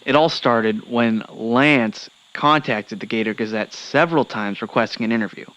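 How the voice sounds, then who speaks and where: A man's voice: mid-range voice, normal speed, fairly bright tone, close to an everyday voice, rough recording. A man playing an impatient, sarcastic young newspaper reporter and skeptic in a comedic audio drama. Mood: exasperated. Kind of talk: comedic audio drama acting as interview guest.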